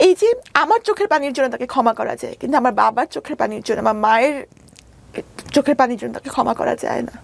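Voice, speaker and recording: High-pitched Bangladeshi woman, insistent. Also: expressive, rapid-fire, creaky. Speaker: interview guest. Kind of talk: personal life-story interview.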